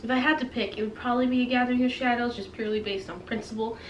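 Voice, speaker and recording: A young woman with a fairly high voice chats casually, as if to her followers. She is playfully dramatic and pokes fun at herself. The recording is roomy and a little distant from the mic, with faint noise. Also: fairly even intonation, racing, dull audio. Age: young adult. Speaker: book vlogger and host. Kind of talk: casual chatty video to camera.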